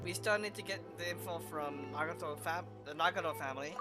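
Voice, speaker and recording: A man's voice: a little high, brisk, music in the background. A man giving casual commentary as he streams a game playthrough.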